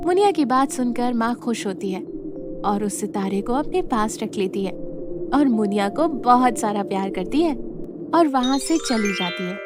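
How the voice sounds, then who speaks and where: A woman's voice: a little high, animated, moderate pace, noisy background. A woman narrating a scripted children's moral story as the storyteller.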